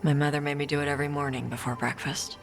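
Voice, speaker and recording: A stoic, dutiful warrior, with a fairly high male voice and terse, reflective delivery. It is fantasy game acting in a long reverb with faint music. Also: flat delivery, fast talker, gravelly. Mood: calm, reflective. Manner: terse, reflective, plain-spoken.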